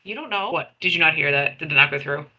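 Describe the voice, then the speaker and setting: A woman's voice: sits low, fast, bright, forceful tone, dull audio. A woman playing in a tabletop roleplay game, chatting informally and swearing freely. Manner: sweary, informal, hesitant restarts. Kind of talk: casual in-character roleplay chat.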